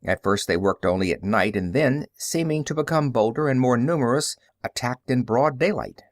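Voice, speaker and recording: Male audiobook narrator, medium pitch, highly animated range, dry close sound. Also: moderate pace, no echo. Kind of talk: scripted story narration with character dialogue.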